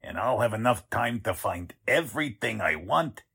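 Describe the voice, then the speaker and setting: A man's voice: fairly low pitch, expressive, moderate pace, bright, forceful tone, heavily acted. An actor playing a scheming, pompous con man who poses as a count, in 1940s radio drama style. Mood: menacing, determined. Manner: suave affected courtesy switching to gruff bossiness.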